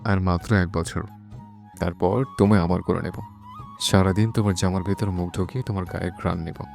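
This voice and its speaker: A man's voice: deep voice, fairly even intonation, a little fast, gravelly. A man playing a bossy, curt young man who is secretly in love, in a romantic audio story. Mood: secretly tender. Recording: soft music behind the voice.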